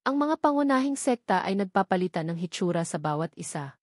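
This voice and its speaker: A woman's voice: mid-range voice, very animated, a little fast, a little mellow, dry recording, clean studio sound. A woman reading a novel aloud as scripted audiobook narration.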